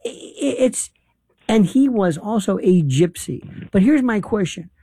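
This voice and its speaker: A man hosts a casual talk, his voice mellow and warm. He is animated and halting, starting sentences over and filling gaps with "uh". The sound is dry and close. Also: sits high, husky, no room sound. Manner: animated, halting, many restarts and fillers. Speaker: host. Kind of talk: casual conversation.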